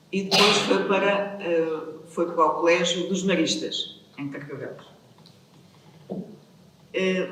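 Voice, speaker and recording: A woman with a European Portuguese accent introducing a guest to an audience in a conversational way, with little tag questions. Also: fairly low pitch. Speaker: event host introducing a guest speaker. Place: large reverberant room at a public event. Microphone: distant microphone. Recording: very echoey hall, distant mic, faint noise.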